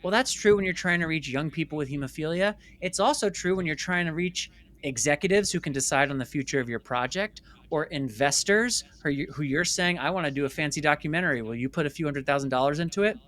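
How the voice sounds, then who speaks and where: A man's voice: a little high, quick pace, fairly bright tone. A man speaking as an interview guest in a conversational storytelling style, emphatic and repeating phrases for stress. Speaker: interview guest, filmmaker and former stage actor.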